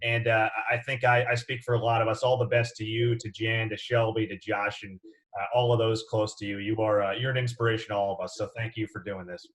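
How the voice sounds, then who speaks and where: A man's voice: on the low side, flat delivery, fairly quick, fairly bright tone. A man hosting a sports radio interview, speaking in an earnest, respectful way. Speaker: interviewer and host.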